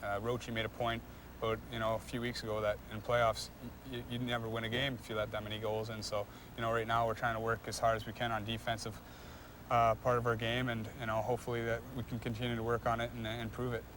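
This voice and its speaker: A young man, a hockey player, giving casual, halting interview answers with repeated words and frequent filler phrases. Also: medium pitch, monotone, background noise. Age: young adult.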